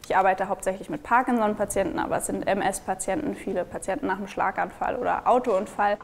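A woman's voice: medium pitch, steady tone, fast talker, gravelly, a little hiss or hum. A woman in her twenties from northern Germany who works as a physiotherapist and on a farm, talking openly in an interview. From Schleswig-Holstein, Germany. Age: 20s. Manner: chatty and open, with small fillers. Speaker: physiotherapist and farmer. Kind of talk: casual interview talk.

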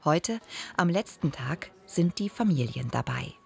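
A woman's voice: sits low, lively intonation, measured pace, creaky. A woman reading scripted television documentary narration as a voice-over. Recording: background music under the voice-over. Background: music.

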